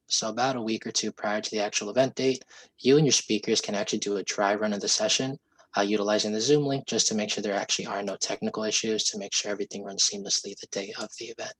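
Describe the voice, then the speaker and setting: A hoarse man explaining software pricing and support on a video call, polite and steady. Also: on the low side, rough recording. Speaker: sales representative giving a software demo. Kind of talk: video call sales pitch.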